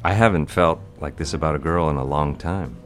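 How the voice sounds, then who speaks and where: Man playing a deep-voiced charming young bachelor. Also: fairly quick, soft background music. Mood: earnest, tender. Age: young adult. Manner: smooth, teasing, courteous. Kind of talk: period romantic audio drama acting.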